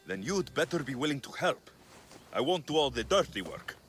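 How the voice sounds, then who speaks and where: A man's voice: medium pitch, very animated, normal speed, a bit bright, soft background music. An actor playing a tough young male mercenary in a game cutscene, blunt and dryly menacing. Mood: angry. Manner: blunt, threatening, dry sarcasm. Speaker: video game character actor. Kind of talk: cinematic game cutscene dialogue.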